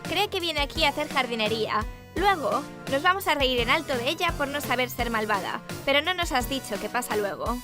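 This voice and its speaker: A high-voiced sensible cartoon princess. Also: female voice, animated, a little fast, over music. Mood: wry. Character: sensible, slightly exasperated young cartoon princess girl.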